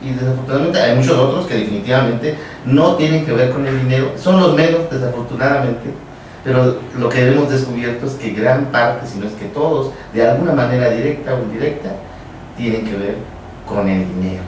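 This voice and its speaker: A man with a Mexican accent hosting a casual radio conversation, explaining things in a halting way and repeating his phrases. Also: mid-range voice, darkish tone. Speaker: radio show host. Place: small radio studio, indoors. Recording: echoey room, distant, background noise.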